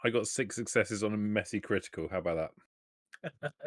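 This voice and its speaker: A man's voice: lowish voice, fairly quick, no echo, clean studio sound. A man chatting casually as a player in a tabletop roleplaying game. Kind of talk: casual chat.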